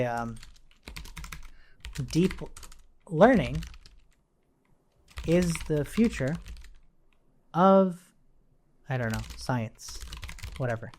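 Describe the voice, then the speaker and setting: A woman with a very low voice talks through a technical tutorial in a casual way. Her pitch moves around in a lively way as she explains and keeps checking that the listener follows. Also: slight background noise. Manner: informal, checks in with "right?". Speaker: tutorial instructor. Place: indoors at a computer.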